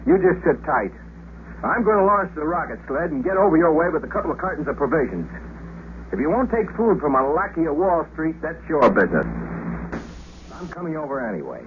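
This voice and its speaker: Brash, animated American spaceman, old radio. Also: on the high side, a little fast, narrow, tinny audio. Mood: friendly, businesslike. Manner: informal, slangy, wry. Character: brash, good-hearted American astronaut. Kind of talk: 1950s radio drama acting. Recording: thin, noisy 1950s radio recording.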